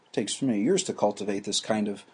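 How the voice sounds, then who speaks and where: Husky-voiced man giving a halting lecture. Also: fairly low pitch, a little mellow. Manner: halting, many restarts and fillers. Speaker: teacher.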